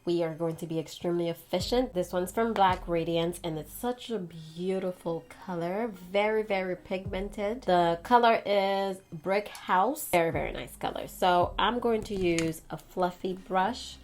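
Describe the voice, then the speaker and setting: Chatty woman with a lowish voice. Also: unhurried, few pauses, a little mellow. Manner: chatty, playful, slangy. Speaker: beauty vlogger. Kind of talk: casual makeup tutorial.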